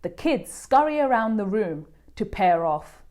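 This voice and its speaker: A woman reading a children's picture book aloud as a host, with expressive, deliberate storytelling. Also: mid-range voice. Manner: animated, deliberate delivery for children.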